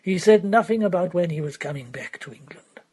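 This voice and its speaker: Lady doing a calm polite detective man, matter of fact, lowish husky. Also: a little fast. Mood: steady. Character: calm male police detective, polite and steady.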